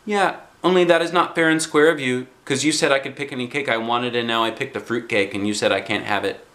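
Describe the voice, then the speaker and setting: A man voicing an excitable, stubborn little schoolgirl in a children's storybook, exclaiming in childish grammar. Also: medium pitch, fast, close to an everyday voice. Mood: indignant.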